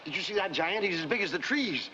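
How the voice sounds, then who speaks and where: A blustering, cowardly schemer from a fairy-tale village. His sharp voice swoops animatedly as he scolds. It sounds like a dull old comedy film soundtrack. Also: high voice, lively intonation, quick pace, a little hiss or hum. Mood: panicky, exasperated.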